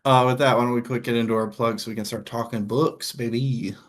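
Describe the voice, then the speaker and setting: A man's voice: mid-range voice, steady tone, a little fast. A man co-hosting a casual live book discussion, speaking in a jokey, informal, playfully theatrical way. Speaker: co-host of a book discussion show.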